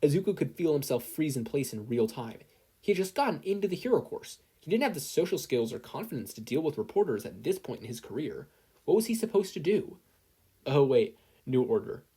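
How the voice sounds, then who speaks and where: Husky, animated story narrator. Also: medium pitch, fairly quick. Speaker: narrator reading fan fiction. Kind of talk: scripted story narration, expressive.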